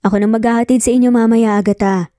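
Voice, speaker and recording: Lovesick man, played by a woman, Filipino accent, intense, pleading, mellow, romance story drama. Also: a little high, fairly even intonation, fast, no echo, studio quality, close to an everyday voice. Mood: earnest. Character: persistent lovesick man, father, earnest and intense.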